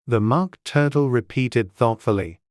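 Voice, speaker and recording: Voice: mid-range voice, unhurried, dry recording. A storybook narrator reads from a script in a mid-range voice. The recording is close and dry, with no room sound. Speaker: audiobook narrator.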